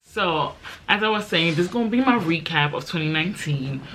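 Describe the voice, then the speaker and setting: A woman's voice: fairly low pitch, lively intonation, brisk, fairly bright tone, slight background noise, rough recording. A woman in her twenties, raised in Belgium, chatting casually and rambling to camera with lots of fillers. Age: 20s. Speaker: YouTuber. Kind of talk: casual chit-chat vlog.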